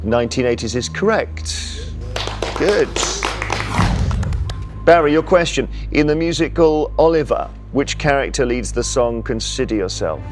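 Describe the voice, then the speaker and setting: A man's voice: mid-range voice, animated, unhurried, over music. A man hosting a television quiz show in a studio, reading questions and teasing the contestants in a playful, upbeat way. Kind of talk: scripted game show presenting.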